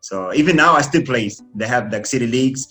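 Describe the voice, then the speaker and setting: Man in his 30s, polite guest, keeps saying yes sir, average pitch. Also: lively intonation, brisk, sharp tone, faint music behind, low-quality recording. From Kuwait. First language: Arabic. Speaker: guest, former runner and coach. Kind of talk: casual interview answers.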